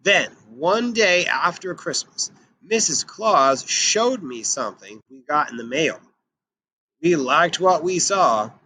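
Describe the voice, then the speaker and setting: Animated, bright-voiced man reading to children. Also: fairly high pitch, takes it slowly, low-quality recording. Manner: animated, emphatic delivery. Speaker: children's storybook reader. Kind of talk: expressive read-aloud of a picture book for children.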